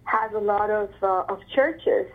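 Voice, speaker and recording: Woman, from Ecuador, interviewee, hesitant with fillers, slow, phone-like audio. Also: mid-range voice. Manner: hesitant, many fillers and throat clearing. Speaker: interviewee, artist. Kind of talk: interview answers. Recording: phone-like narrow sound.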